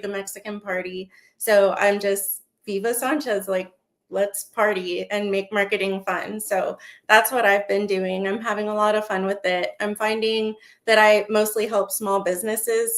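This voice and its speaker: A young woman from Texas talks as an interview guest, her Texan accent light and her voice of middling pitch. She is bubbly and peppers her sentences with "like.". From San Antonio, Texas, USA. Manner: bubbly, heavy use of "like". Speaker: podcast guest, marketing business owner. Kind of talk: casual interview chat.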